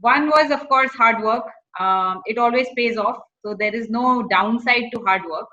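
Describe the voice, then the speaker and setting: A woman's voice: fairly high pitch, normal speed, sharp tone, lo-fi sound. A woman with an Indian accent giving informal career advice to students, slipping between English and Hindi phrases.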